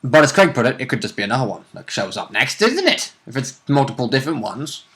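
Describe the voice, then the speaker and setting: Casting: male tabletop gamer, average-pitched voice, casual and agreeable, dropping joking remarks into a conversation. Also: sing-song, fast talker, a bit bright. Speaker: tabletop role-play player. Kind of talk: casual chat, commenting on a game.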